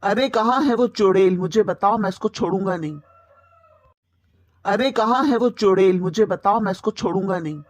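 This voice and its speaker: A woman's voice: medium pitch, moderate pace, close to an everyday voice. Woman as a blustering male bird husband. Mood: angry.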